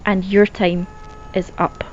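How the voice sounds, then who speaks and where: An actress with a Scottish accent playing a crude, mocking gangster's wife. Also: female voice, mid-range voice, little pitch movement, slow, muffled recording, heavy background noise, close to an everyday voice. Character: vicious, sharp-tongued Scottish gangster wife.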